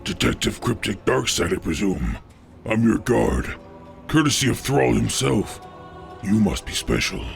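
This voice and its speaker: Old orc grunt male actor for radio drama: heavy rasping fry, gruff, blunt, animated intonation, faint music underneath. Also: lowish voice, unhurried, exaggerated voice. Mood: gruff, dry. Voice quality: gravelly. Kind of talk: radio play acting.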